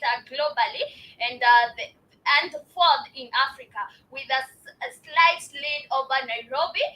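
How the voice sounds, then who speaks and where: Kenyan woman, raspy strained voice, hesitant news talk, high-pitched, a bit off-mic. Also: sharp tone, distant mic, poor audio. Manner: hesitant, many fillers and restarts. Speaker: live show host. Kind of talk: news commentary.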